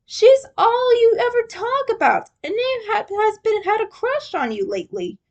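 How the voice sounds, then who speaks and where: A woman's voice: very high voice, big pitch swings, normal speed, a little mellow, highs cut off, pitched above the performer's own voice, exaggerated voice. A woman voicing a blunt, teasing teenage male turtle, the younger brother in a fan story. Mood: teasing, exasperated.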